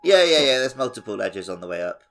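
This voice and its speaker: A man's voice: mid-range voice, big pitch swings, fast talker, a bit bright, faint music behind. A man co-hosting a casual gaming podcast with wry, self-deprecating banter. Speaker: podcast co-host. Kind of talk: casual banter about video games.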